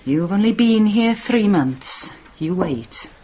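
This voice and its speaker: An actress playing a curious, probing, lonely Welsh village gardener in an intimate radio drama. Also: female voice, mid-range voice, expressive, slow, dark tone, slight background noise. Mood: inquisitive.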